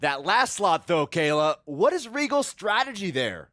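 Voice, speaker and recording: A man's voice: high voice, big pitch swings, measured pace, bright, forceful tone, no echo, clean studio sound, heavily acted. A man playing an opinionated wrestling broadcast co-host in a video game, giving scripted preview commentary. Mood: keen, opinionated. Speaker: sports show analyst.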